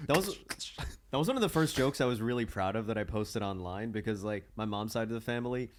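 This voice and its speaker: A man's voice: lowish voice, quick pace. A man hosting a casual comedy podcast, bantering informally. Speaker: podcast host.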